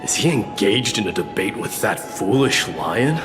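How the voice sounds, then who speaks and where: A man's voice: fairly low pitch, lively intonation, natural voice. Man acting a stern, commanding warrior prince over music. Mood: grave, restrained. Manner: formal, commanding, measured. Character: stern, proud young warrior crown prince. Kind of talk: scripted video game dialogue. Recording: music under the voice.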